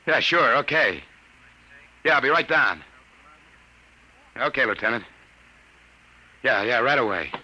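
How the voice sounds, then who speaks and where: A man's voice: mid-range voice, lively intonation, a little slow, long pauses, pushed and bright, breathy edge, heavily acted. A man playing a brusque, hard-boiled private detective boss in 1950s radio drama style, clipped and tough. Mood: terse. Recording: noisy vintage radio recording.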